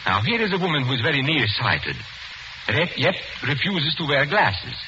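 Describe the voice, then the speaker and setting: An actor playing a shrewd, courteous detective who speaks in a deliberate, formal, accusing way, in 1940s radio style. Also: male voice, on the high side, sing-song. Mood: explaining. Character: shrewd, courteous male detective exposing a murderer. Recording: noisy, muffled 1940s radio recording.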